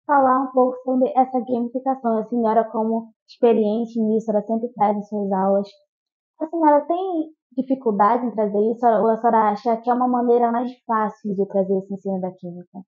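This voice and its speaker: A woman's voice: fairly high pitch, a little fast. A woman hosting a podcast, politely introducing the guest and reading prepared segment introductions. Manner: polite, formal address, reads prepared intros. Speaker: podcast host. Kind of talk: podcast interview. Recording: tinny phone-like line.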